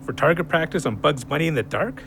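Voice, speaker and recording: Gravelly, sarcastic killjoy. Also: male voice, on the high side, expressive, fast talker, background noise. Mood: grumbling. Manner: mocking, grumbling. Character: sarcastic, sober killjoy cousin. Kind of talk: audio drama acting. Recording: music behind the voice.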